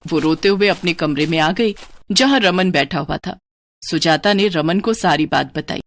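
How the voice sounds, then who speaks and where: A woman's voice: lowish voice, normal speed. A woman narrating a scripted moral cartoon story in a dramatic storytelling style.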